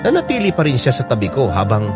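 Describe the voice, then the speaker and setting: A man's voice: medium pitch, wildly swooping pitch, fast. A man with a Filipino accent narrating a radio drama in the first person, confiding expressively in the listeners. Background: prominent music.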